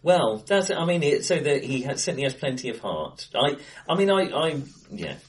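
A man's voice: on the high side, sing-song, moderate pace. A man co-hosting a casual film discussion in a conversational, tentative and self-deprecating manner. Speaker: podcast co-host. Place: indoors, bright-sounding room, face to face.

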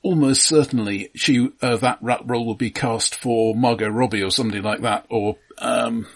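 Male co-host, slightly husky, measured and wry in conversation. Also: mid-range voice, steady tone. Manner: thoughtful, dry wry humour, frequent restarts. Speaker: podcast co-host. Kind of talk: casual film discussion.

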